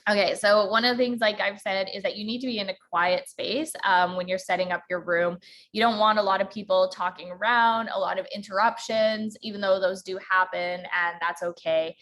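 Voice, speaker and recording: Fast-talking woman with a slight Alberta accent. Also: medium pitch, steady tone, fairly bright tone. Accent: slight central Alberta. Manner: fast talker, many ums. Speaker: podcast host giving a workshop. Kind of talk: informal teaching talk.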